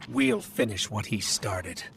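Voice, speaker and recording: An actor playing a terse, resolute warrior in a video game cutscene. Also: male voice, on the low side, wildly swooping pitch, slow pace, vocal fry, a bit bright, a little hiss or hum, heavily acted. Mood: resolute, grim. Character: terse, resolute warrior standing up to a crime boss.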